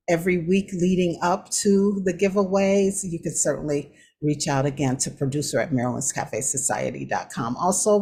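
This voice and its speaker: A woman hosting a lifestyle talk show, speaking to listeners in an encouraging, conversational way with a husky voice. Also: on the low side, a little mellow. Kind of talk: motivational talk and sign-off to listeners.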